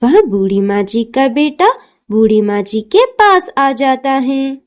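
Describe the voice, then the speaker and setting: A woman's voice: sits high, sing-song, slow pace. A woman narrating a scripted children's cartoon story.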